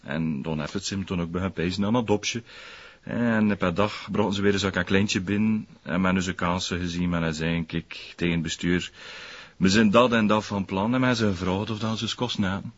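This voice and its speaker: An actor playing an aggrieved former concierge turned prosperous dealer in a radio drama, rambling in folksy dialect with a West Flemish accent. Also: male voice, low voice, normal speed, lo-fi sound. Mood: wistful, aggrieved. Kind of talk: naturalistic radio drama dialogue.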